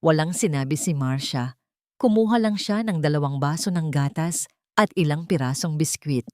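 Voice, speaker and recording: A Filipino woman tells a scripted dramatic story as narrator. Her pitch sits a little low for a woman. The recording is very dry and close, with no room sound. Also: normal speed, no room sound.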